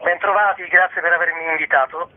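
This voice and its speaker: A man giving explanatory interview answers as a festival director over a phone line, often pausing to find words. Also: sits high, fairly even intonation, no room sound. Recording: tinny, noisy phone line.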